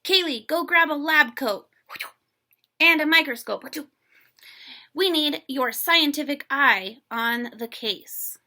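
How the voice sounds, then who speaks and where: A woman voicing an eager schoolgirl in a children's storybook, earnest, brisk and bossy as she takes charge. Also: high-pitched, bright, forceful tone, exaggerated voice. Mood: eager, determined. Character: eager schoolgirl taking charge of a germ mystery.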